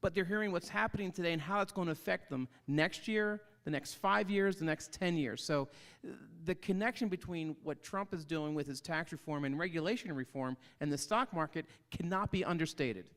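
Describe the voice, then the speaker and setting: A man's voice: a little high, brisk, fairly bright tone. Emphatic man panelist. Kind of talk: panel discussion.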